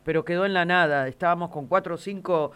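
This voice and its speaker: A radio host and historian, a woman with a Rioplatense Spanish accent, talks in a chatty, curious way. Her voice comes across smooth and resonant, and she nods along often as her guest speaks. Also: lowish voice, fairly bright tone. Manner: chatty and curious, quick to agree. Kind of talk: casual radio interview.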